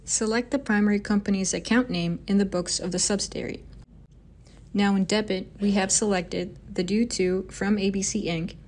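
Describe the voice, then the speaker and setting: Woman narrating a step-by-step software tutorial. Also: mid-range voice, steady tone, normal speed. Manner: instructional, step by step.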